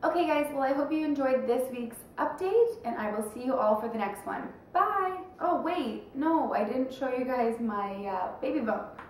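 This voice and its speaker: A young woman rambles along to her viewers in a relaxed, chatty way, with a stuffed-up nose. She sits back from the mic in a very echoey room at home, with a faint hiss underneath. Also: high-pitched, normal speed, distant mic. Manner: rambling, chatty, lots of fillers. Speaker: vlogger. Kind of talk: casual chat to camera.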